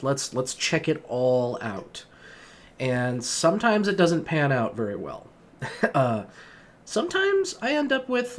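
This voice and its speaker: A young man talks through an album review in a loose, rambling way, stopping and restarting his sentences. He is under the weather, and his voice sounds sniffly and worn, sitting at a middling pitch for a man. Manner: rambling, many fillers and restarts. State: sick, sniffly voice. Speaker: music vlogger. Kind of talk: casual spoken album review.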